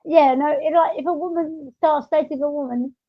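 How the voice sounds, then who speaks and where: A woman hosting a casual podcast chat, agreeable and quick to chime in with short interjections. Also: high-pitched, fairly even intonation, highs cut off, a crowd in the background. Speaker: podcast host.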